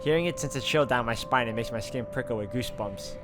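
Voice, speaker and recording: A man's voice: mid-range voice, fast talker. A man playing and reading a horror visual novel aloud, mixing dramatic narration with casual asides as a let's player. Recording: loud music behind the voice.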